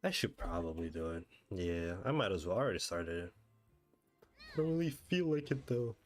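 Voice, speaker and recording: A man's voice: sits low, big pitch swings, brisk. A man chatting casually on a gaming livestream, rambling with wry asides. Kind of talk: casual gaming stream chat. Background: game music.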